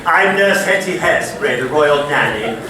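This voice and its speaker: A man's voice: a little high, animated, a little slow. A man playing a vain, gossipy pantomime nanny with theatrical, exaggerated comic delivery on stage. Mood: grand, gushing. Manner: theatrical, exaggerated, self-admiring. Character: vain, gossipy pantomime dame, royal nanny Nurse Hetty. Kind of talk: broad comic pantomime dame acting. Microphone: far from the performer. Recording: echoey theatre hall, distant noisy recording.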